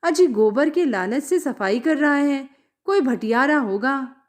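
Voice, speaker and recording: A suspicious male devotee in a story reading, acted out by a woman. The voice is high and very animated. He questions and gossips in a brusque, sneering way. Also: a little slow. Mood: suspicious, scheming.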